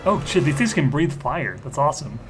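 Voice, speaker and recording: A man's voice: a little high, sing-song, brisk, a bit bright, faint music behind. A man chatting casually as he plays a tabletop roleplaying game, stammering a little as he reads and explains. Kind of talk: casual group roleplaying chat.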